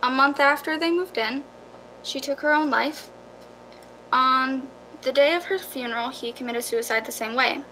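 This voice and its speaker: A woman playing a calm, practical real estate agent in a radio drama, matter-of-fact and reassuring. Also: high voice, moderate pace, a little hiss or hum, low-quality recording.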